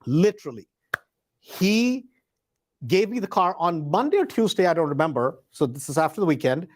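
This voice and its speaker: High-pitched man telling a casual story. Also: normal speed. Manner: animated retelling with acted dialogue, emphatic repetition. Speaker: host.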